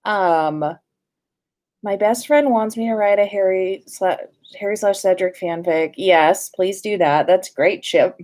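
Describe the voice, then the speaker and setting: A woman's voice: medium pitch, a little slow, darkish tone. A woman hosting a casual livestream in a playful, informal way, sometimes sing-song and casually sweary. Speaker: livestream host.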